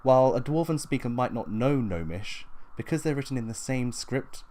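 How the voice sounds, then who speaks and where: A man's voice: mid-range voice, expressive, moderate pace, warm tone, a little hiss or hum. A man hosting a tabletop gaming explainer, narrating in a matter-of-fact, instructional way from loosely scripted notes. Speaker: tabletop gaming video host.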